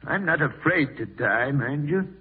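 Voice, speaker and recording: Husky, irascible old man. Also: a little high, expressive, unhurried, heavily acted. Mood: gruff, irritable. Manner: crotchety, brusque. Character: aged irascible dying man haunted by fear of live burial. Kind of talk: 1940s radio acting. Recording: muffled distant husky old radio recording.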